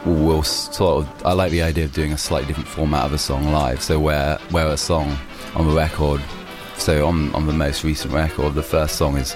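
A man's voice: extremely low pitch, little pitch movement, normal speed, few pauses, music in the background. A young man, a musician, answering interview questions casually, rambling with many restarts and fillers. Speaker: musician guest.

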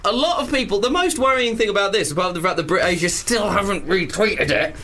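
A man's voice: sits high, expressive, quick pace, bright, forceful tone. A man with a British accent co-hosting a radio show with casual, irreverent and crude comic banter.

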